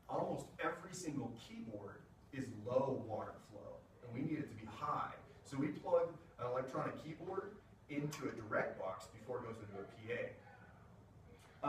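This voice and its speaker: A man giving a casual classroom lecture on sound equipment, explaining with plenty of fillers and asides, recorded from across the room. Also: mid-range voice. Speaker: music technology presenter. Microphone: room mic from a distance. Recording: echoey classroom from far off, faint hiss.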